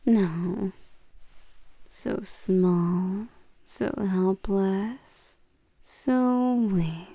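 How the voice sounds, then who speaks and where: A woman playing a regal, seductive and domineering vampire queen in a scripted roleplay audio drama, coaxing and commanding the listener with soft menace. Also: medium pitch, drawn-out words, long pauses, no room sound. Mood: predatory, taunting.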